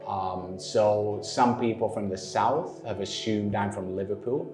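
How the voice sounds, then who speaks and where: A man from northern England with a Manchester accent, answering interview questions in a measured way with frequent pauses. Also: fairly low pitch, echoey room, music in the background. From northern England, Manchester area. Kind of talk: explaining in an interview.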